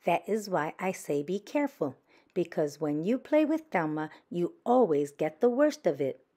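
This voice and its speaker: A woman's voice: on the low side, very animated, normal speed, warm tone. Woman reading as cautioning mother badger. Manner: admonishing, questioning. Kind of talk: children's picture book read-aloud.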